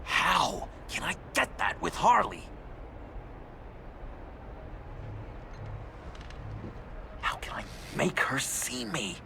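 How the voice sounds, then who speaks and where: Unhinged lovestruck game villain, slow n creaky, breathy rambling w sudden outbursts. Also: male voice, medium pitch, very animated, noisy background. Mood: eager, demanding. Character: lovesick, unstable, eccentric young male criminal. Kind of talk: video game voice acting.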